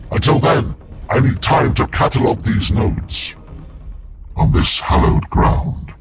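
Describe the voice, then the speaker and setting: An actor playing an ancient warrior-psyker sealed in a war machine, speaking formally and solemnly as the game's narrator. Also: male voice, on the high side, very noisy. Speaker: librarian, narrator. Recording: with loud game music behind.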